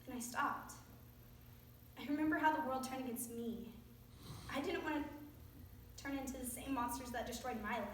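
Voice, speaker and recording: Woman acting reflective survivor, earnest, stage monologue, high-ish voice, reverberant, faint noise. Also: normal speed, pauses often, close to an everyday voice. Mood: earnest, troubled. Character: reflective survivor of a school shooting. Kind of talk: readers theater stage acting. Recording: very echoey room, faint noise.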